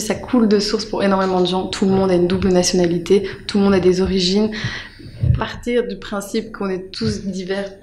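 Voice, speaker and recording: A woman's voice: mid-range voice, a little mellow. A young woman and local election candidate, speaking on a political panel in an assured way. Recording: echoey studio with faint noise.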